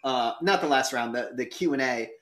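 A man co-hosting a debate show with animated, casual banter and some swearing. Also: mid-range voice, moderate pace, reverberant. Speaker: debate show co-host and judge. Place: indoor room.

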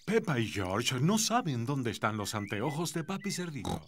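A man playing a friendly storybook narrator for a preschool cartoon, explaining things simply and clearly. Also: medium pitch, very animated, moderate pace, background noise. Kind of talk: scripted children's narration.

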